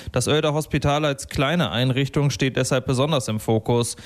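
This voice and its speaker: Man, radio newsreader, average pitch, scripted news reading, studio.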